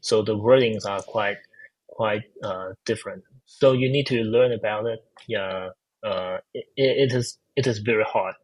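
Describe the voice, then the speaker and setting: Low husky man with Chinese accent. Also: normal speed, poor audio. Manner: hesitant, many fillers and restarts. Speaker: guest, AI researcher. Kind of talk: casual technical interview.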